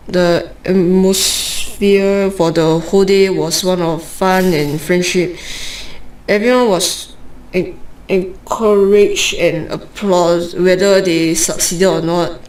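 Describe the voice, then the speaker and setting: A woman reading a passage aloud as an exam candidate, monotonous and hesitant, with slurred words and stumbles. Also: fairly low pitch, slow pace, low-quality recording.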